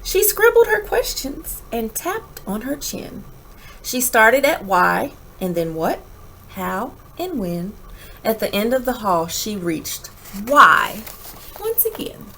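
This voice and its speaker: Woman doing a kids read-aloud, slow n very animated, dramatic pauses, bit of background noise. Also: on the high side, low-quality recording. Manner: dramatic pauses, emphatic phrasing. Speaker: teacher reading a picture book aloud. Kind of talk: expressive children's read-aloud, rhyming storybook narration.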